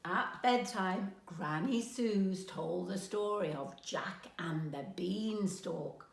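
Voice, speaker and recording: A woman's voice: fairly low pitch, takes it slowly, vocal fry, roomy sound. A woman narrating a children's storybook aloud as a storyteller. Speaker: storyteller, grandmother.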